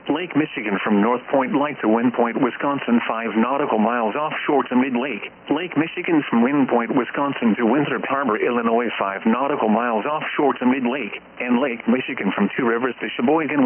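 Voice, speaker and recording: A synthetic male text-to-speech voice reading a formal scripted weather alert in a stiff, measured, impersonal manner over the radio. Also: medium pitch. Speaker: automated weather radio announcer. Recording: noisy, muffled weather radio broadcast.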